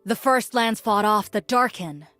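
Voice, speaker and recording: A proud female warrior from a fantasy video game, speaks in solemn, formal declarations. Her voice sits at a middle pitch. It is recorded dry, with music underneath. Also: lively intonation, a little slow, a bit bright, no room sound. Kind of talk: scripted fantasy game voice lines. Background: music in the background.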